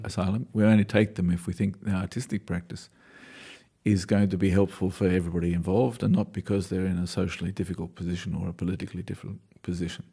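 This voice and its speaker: Male dancer-choreographer from Australia, low-pitched, reflective and hesitant delivery. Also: dark tone, husky. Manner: thoughtful, hesitant, restarts sentences. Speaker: dancer and choreographer, class leader. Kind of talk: reflective interview answers.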